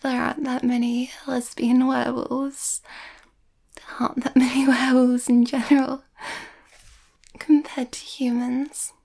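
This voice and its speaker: Shy young werewolf girlfriend, female performer: soft whispery ASMR delivery, high pitch, unhurried, bashful and sweet. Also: little pitch movement, exaggerated voice. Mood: shy, musing. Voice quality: soft, breathy, often whispery. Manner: bashful, hesitant, giggly. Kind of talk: intimate ASMR girlfriend roleplay.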